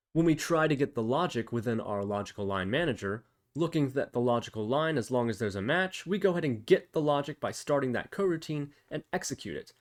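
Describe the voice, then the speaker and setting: A man presenting a casual step-by-step programming tutorial, explaining and thinking aloud as he demonstrates. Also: medium pitch.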